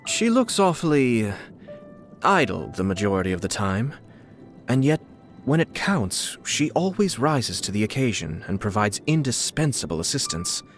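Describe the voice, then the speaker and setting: A man voicing a refined, suave young nobleman and clan head in a video game, coolly shrewd, with polished, measured, formal diction. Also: medium pitch, sing-song. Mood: courteous, wry. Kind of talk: scripted video game character dialogue. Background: background music.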